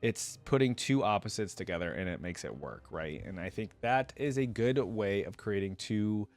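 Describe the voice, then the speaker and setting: Low-voiced man reacting and reading aloud. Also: expressive, normal speed, soft background music. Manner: casual, stops to explain and reflect. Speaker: reaction video host. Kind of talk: reading a story aloud with off-the-cuff commentary.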